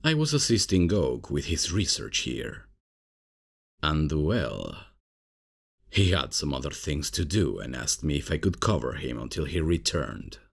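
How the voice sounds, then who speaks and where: A man playing a cocky, casual, unprofessional substitute professor in an ASMR comedy roleplay, with a husky, gravelly voice and a hesitant, improvising way of talking. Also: a bass voice, very animated, a little slow, heavily acted. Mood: casual, friendly. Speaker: roleplay performer.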